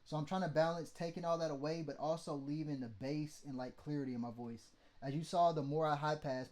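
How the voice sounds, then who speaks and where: A man's voice: a little high, darkish tone. A man with a mid-range voice teaching vocal mixing in a loose, blunt way, swearing and checking in with "okay?". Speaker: mixing tutorial host. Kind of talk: casual how-to teaching.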